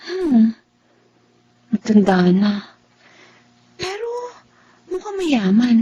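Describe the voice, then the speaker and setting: Radio drama role: trusting young Filipina student, stubborn and hopeful, high girlish voice. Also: mellow, husky, highs cut off, exaggerated voice. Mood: curious, hopeful.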